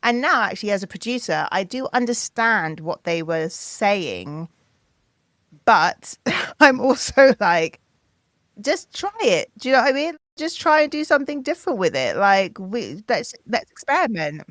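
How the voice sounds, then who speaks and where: A woman's voice: mid-range voice, unhurried, no room sound. A woman in her thirties, a singer and producer, telling stories animatedly in a podcast interview and acting out what others said. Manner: animated storytelling, acting out quotes in a raised voice.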